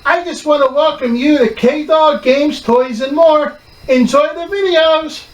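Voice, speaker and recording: A squeaky, high mascot greeter, put on by a speaker drawls a sing-song welcome. Every word is stretched out and cheery. The voice sits back from the mic in an echoey room. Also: a little slow. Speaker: channel greeter. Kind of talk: slow exaggerated welcome. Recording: roomy, distant from the mic.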